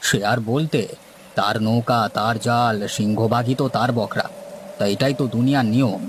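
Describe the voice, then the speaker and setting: A man's voice: medium pitch, fairly even intonation, normal speed, natural voice. A man acts out a village fisherman uncle for a cartoon. His mid-range voice is colloquial and instructive, with a cautious, fatalistic temper. Faint ambient noise sits behind him. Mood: resigned. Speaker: cartoon voice actor. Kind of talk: dramatised Bengali cartoon story dialogue.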